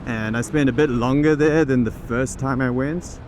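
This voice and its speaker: A man's voice: medium pitch, moderate pace. Man chatting casually while riding a motorbike. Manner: relaxed, chatty, thinking aloud with restarts. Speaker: vlogger and teaching program founder. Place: on a motorbike in city traffic outdoors. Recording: traffic noise behind.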